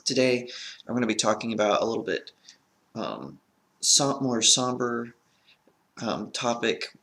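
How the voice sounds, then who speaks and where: A man's voice: medium pitch, animated, measured pace, bright, forceful tone. A man with a crackly voice from a sore throat, talking as an earnest film review host in a casual vlog style.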